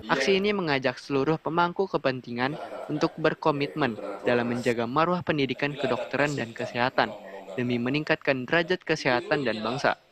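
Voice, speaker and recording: A man's voice: medium pitch, a little fast. A man reading a scripted news report as a reporter. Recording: crowd noise under voice-over. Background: crowd.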